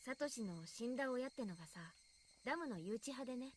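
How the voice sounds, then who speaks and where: A teenage village girl from an anime visual novel, speaks very quickly in a highish voice. She is a serious friend who is guarded and hesitant in how she shares things. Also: expressive, slight background noise. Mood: hesitant, somber.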